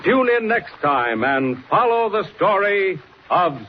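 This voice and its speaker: A man's voice: high voice, very animated, slow pace. A man announcing a 1940s radio adventure serial in dramatic scripted narration. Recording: muffled old radio recording, faint noise.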